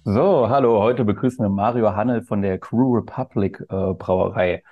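A man's voice: on the low side, normal speed, phone-quality sound, faint music behind. A young man asking questions in a casual interview.

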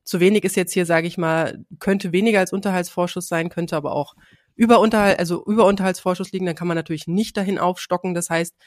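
A woman's voice: on the low side, steady tone, quick pace, no echo, studio quality. A woman with a slight cold, co-hosting a podcast and patiently explaining numbers with lots of fillers and restarts. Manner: many fillers and restarts, explains figures step by step. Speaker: podcast co-host.

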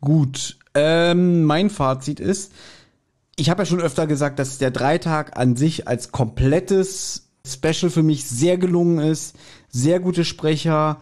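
A man's voice: on the high side, slow, darkish tone. A man chatting casually as a podcast co-host, explaining his views in colloquial language. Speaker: podcast co-host reviewing audio dramas.

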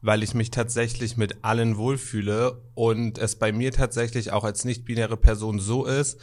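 A man's voice: mid-range voice, flat delivery, normal speed. A man around thirty, speaking thoughtfully and a little hesitantly as a guest on an interview podcast. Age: 30s. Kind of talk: conversational interview. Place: in a shop, indoors.

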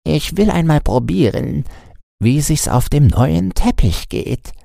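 A man's voice: fairly high pitch, sing-song, slow pace, gravelly, dark tone, no room sound, clean studio sound, pitched above the performer's own voice, exaggerated voice. A man voicing a cheeky, pompous raven in a storybook, impudent and self-satisfied.

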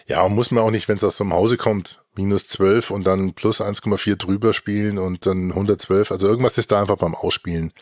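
A man's voice: on the low side, steady tone, a little fast, few pauses, a little mellow, no room sound, studio quality. A middle-aged man co-hosting a casual podcast review, talking in a nerdy, technical way. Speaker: podcast host.

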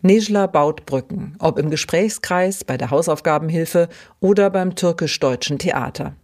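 A woman hosting a podcast, reading scripted narration in a clear, even way. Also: deep voice, moderate pace. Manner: clear, even read-aloud delivery. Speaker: podcast host.

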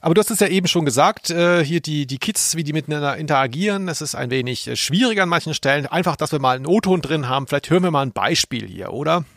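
A man's voice: on the high side, lively intonation, fast, hardly any pauses, fairly bright tone, dry recording. A male podcast co-host giving casual, humorous commentary, explaining things with ironic understatement.

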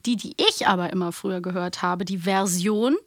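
A woman's voice: on the low side, moderate pace, dry recording, clean studio sound. A younger woman chatting casually as a podcast co-host, with a chatty manner. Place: podcast studio. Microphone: close studio microphone.

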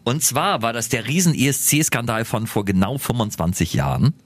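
A man's voice: mid-range voice, lively intonation, normal speed. A man in his late thirties co-hosting a casual podcast. He tells stories with many fillers and restarts, and he laughs often. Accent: German. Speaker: radio podcast co-host. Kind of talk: casual chat among radio hosts.

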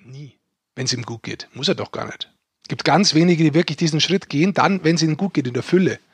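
A man's voice: fairly high pitch, brisk, breathy edge, no room sound. A male podcast co-host musing philosophically about growth in hard times. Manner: reflective, philosophizing. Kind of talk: casual podcast chat.

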